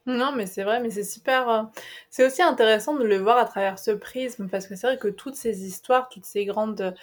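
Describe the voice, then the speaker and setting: A woman hosting a podcast, with a voice a touch higher than most. She talks in a casual, chatty and enthusiastic way, asking long, friendly questions. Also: normal speed. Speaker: podcast host. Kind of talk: podcast interview.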